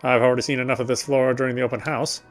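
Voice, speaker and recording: A man reading visual novel narration aloud as he plays, often halting and correcting himself. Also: mid-range voice, flat delivery, music in the background. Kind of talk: read-aloud game narration.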